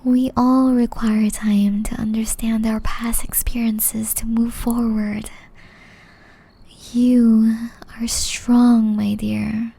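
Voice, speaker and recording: A woman voicing a gentle, caring young witch in love, whispering softly and tenderly in an ASMR romance roleplay. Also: a little high, flat delivery, heavily acted. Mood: tender, soothing. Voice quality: soft, breathy whisper. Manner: slow, tender, intimate delivery.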